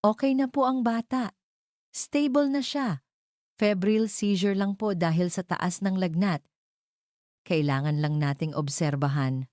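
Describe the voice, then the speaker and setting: Hospital doctor, polite and explanatory, audio drama; soft dark tone, dry recording female reader. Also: medium pitch, measured pace, pauses often, no echo, voice pushed up, natural voice. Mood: reassuring. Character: polite reassuring hospital doctor, later hesitant.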